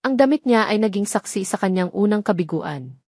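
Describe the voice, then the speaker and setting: A woman's voice: medium pitch, animated, a little fast, a little mellow, no room sound, studio quality. A woman reading scripted dramatic story narration.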